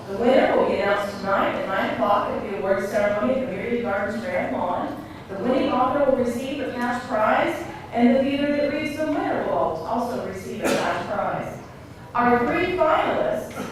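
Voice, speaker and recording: A woman hosting a live event, making announcements to those attending. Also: medium pitch. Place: indoor venue, live event. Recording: very echoey room, distant mic, faint noise.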